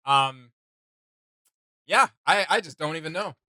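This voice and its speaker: A man's voice: a little high, lively intonation, unhurried, pushed and bright, no room sound, studio quality. A man hosting a reaction video, speaking casually and hesitantly as he gropes for words.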